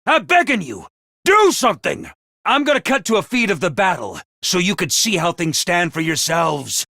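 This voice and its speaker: Theatrical, wildly swooping mascot commander. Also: male voice, sits high, sing-song, normal speed, creaky, bright, forceful tone, dry recording, studio quality, full character voice. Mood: desperate pleading. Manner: theatrical, emphatic, swinging from grave to bombastic. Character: excitable, theatrical mascot commander of a military school. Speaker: commander addressing students. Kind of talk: animated video game voice acting.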